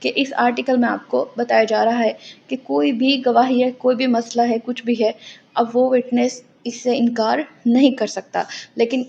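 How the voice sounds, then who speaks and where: A Pakistani woman lectures on law in a rather high voice with heavy vocal fry. She reads legal wording aloud and explains it like a teacher. The recording is dull and lo-fi, with faint noise behind her. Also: little pitch movement, normal speed, darkish tone. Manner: didactic, explanatory.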